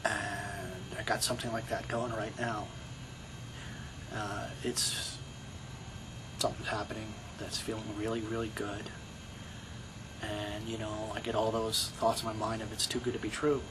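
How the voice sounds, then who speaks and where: A man's voice: on the low side, level tone, a little slow, noisy background. A middle-aged man with a Brooklyn accent, talking in a rambling, reflective way to his video blog, full of you-knows and restarts. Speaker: video blogger. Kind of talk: casual personal monologue.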